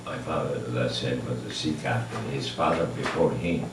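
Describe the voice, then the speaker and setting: A hundred-year-old man from Egypt, reminiscing unscripted to an audience in a halting way, searching for his words. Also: extremely low pitch, loud music behind the voice. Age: 100. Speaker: honoree at a birthday tribute. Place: large echoey room with an audience. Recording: echoey room, distant mic, background noise.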